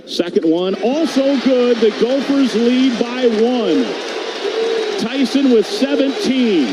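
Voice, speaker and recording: A man's voice: very high voice, expressive, slow. A man giving live radio-style basketball play-by-play as a commentator, with noisy arena ambience behind him. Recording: clear background noise.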